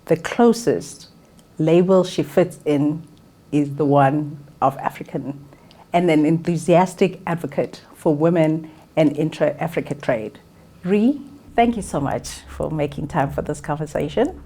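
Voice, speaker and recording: A woman with a notably low speaking voice hosts an interview podcast. She introduces her guest and asks her questions in a measured way, with soft affirmations. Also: slightly rough. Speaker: podcast host. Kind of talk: interview conversation.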